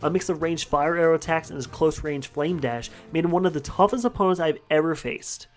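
A man's voice: fairly high pitch, faint music behind. A young man narrating a countdown voice-over with enthusiasm over gameplay footage. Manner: enthusiastic, brisk reading. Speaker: gaming channel host.

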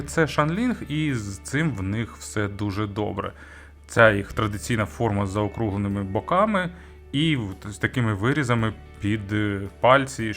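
A man with a fairly low voice presents a gadget review in a conversational, explanatory way. He pauses mid-sentence now and then as he works out his phrasing. Faint music plays behind him. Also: fairly bright tone. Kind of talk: semi-scripted product review talk.

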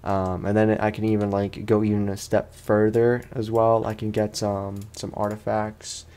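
Male gaming host, low register with heavy vocal fry, rambling casual let's play commentary. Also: flat delivery, moderate pace, mellow. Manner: rambling, slangy, self-deprecating.

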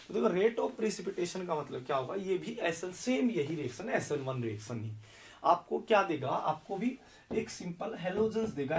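Hoarse young man teaching chemistry. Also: a little high, recorded from a distance. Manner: explains step by step, asks questions and answers them himself. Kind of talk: whiteboard lecture. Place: indoor classroom in front of a green board.

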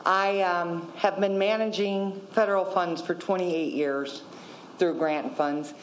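A woman's voice: on the low side, steady tone, slow pace, a little hiss or hum, poor audio. A woman in her fifties, a city operations coordinator, giving a matter-of-fact report at a podium in a council chamber. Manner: matter-of-fact, listing figures. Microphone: podium microphone.

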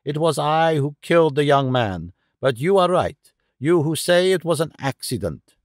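A man's voice: a little high, big pitch swings, normal speed, no room sound, clean studio sound. Storybook role: foreign woman performed by a man, dignified, disdainful, earnest and dramatic, closely recorded. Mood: earnest confession.

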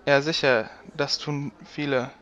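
A man's voice: mid-range voice, steady tone, unhurried, fairly bright tone, natural voice. A man playing an exasperated, incredulous young stallion on trial in a comedy audio drama, on a dull microphone. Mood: meek.

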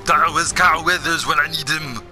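A very bright, piercing male voice, pitched on the high side. He commentates on a video game in a blustering, boastful way, shouting taunts, with some music behind him. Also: fairly even intonation, normal speed. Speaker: gaming video host. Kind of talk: excitable gameplay commentary in character.

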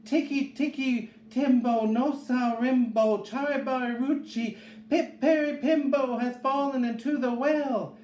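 A man's voice: medium pitch, a little slow, darkish tone, natural voice. A man voicing a respectful, frantic little boy in a storybook, gasping and pleading with urgency. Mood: breathless urgency. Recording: echoey room, faint background noise.

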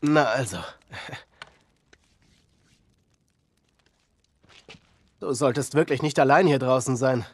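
An actor playing a calm middle-aged survivor leader in a German game dub, softly persuasive and measured, soothing yet menacing. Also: male voice, mid-range voice, no room sound, natural voice. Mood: friendly, coaxing.

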